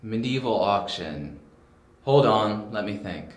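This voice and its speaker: A man's voice: lowish voice, lively intonation, slow. A man playing a dry, helpful reporter in an amateur audio drama, with an easygoing manner. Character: detective's dry, helpful relative, a sharp-memoried male reporter. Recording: roomy amateur home recording.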